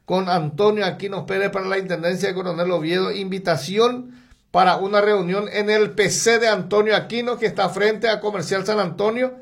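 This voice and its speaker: A Paraguayan man on local radio, slipping between Spanish and Guarani as he rattles off ads. His voice sits high for a man and has a gravelly edge, with the volume rising and falling from phrase to phrase. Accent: Paraguayan Spanish, mixing in Guarani. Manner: rapid, sing-song pitch and loudness swings, listing items. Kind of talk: live radio ad reading.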